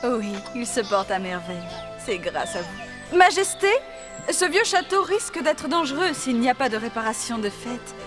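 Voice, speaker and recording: A woman's voice: on the high side, sing-song, normal speed, over music. A woman playing a young queen in a fairy-tale cartoon, earnest, dramatic and determined to protect her child. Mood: relieved.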